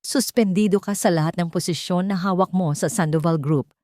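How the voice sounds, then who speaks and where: A woman reads as a stern Filipino chairman in a dramatic audio story. The delivery is firm, formal and accusing, lowish and very dry. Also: brisk, mellow, dry recording, lightly acted. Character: stern, authoritative male board chairman.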